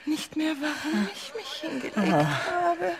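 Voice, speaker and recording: An actress playing a seductive, commanding queen in a radio play, coaxing and teasing with breathy asides. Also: high-pitched, wildly swooping pitch, measured pace, over a noisy crowd, full character voice. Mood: coquettish, urging. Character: seductive, capricious, commanding queen, flirting with a visitor. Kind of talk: German radio play acting.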